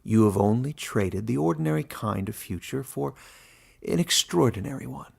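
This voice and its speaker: Man as a measured, creaky-voiced sage warden. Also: mid-range voice, animated, heavily acted. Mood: calm, knowing. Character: calm, mysterious warden of an animal council, kindly sage.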